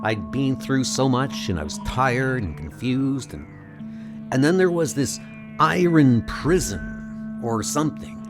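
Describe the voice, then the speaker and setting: An earnest, shaken superhero played by a man with a mid-pitched voice and wide swings of intonation. He talks haltingly and intensely in a dramatic audio comic, over music. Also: animated. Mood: shaken, recounting. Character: shaken, earnest young superhero recounting his ordeal. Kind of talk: dramatic comic dub monologue.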